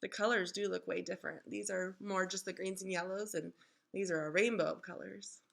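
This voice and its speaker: A woman reading a children's storybook aloud in an expressive, instructive way, like a teacher engaging young listeners. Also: mid-range voice, fairly quick, gravelly.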